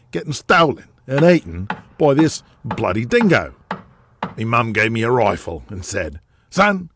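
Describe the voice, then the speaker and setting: A man's voice: mid-range voice, fairly bright tone, no echo, studio quality. A man playing a brash, boastful, profane Australian big-game hunter and media tycoon in an audio drama, with an intimidating manner. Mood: boastful reminiscing.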